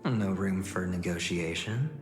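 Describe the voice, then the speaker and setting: An actor playing a smooth-talking, calculating young male gambler in a video game, with an insinuating, deliberate delivery. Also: low voice, soft background music. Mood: nonchalant. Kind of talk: dramatic video game voice acting.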